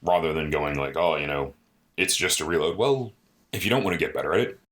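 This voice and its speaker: A man with a deep voice co-hosting a podcast in a studio, talking earnestly in casual chat and often adding "you know" and "right?". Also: fast, fairly bright tone. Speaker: podcast co-host.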